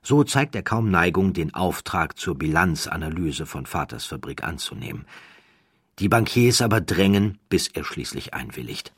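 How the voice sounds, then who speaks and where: A man narrates a scripted documentary story in a measured, dramatic way with deliberate pauses. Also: deep voice, big pitch swings, husky, no room sound.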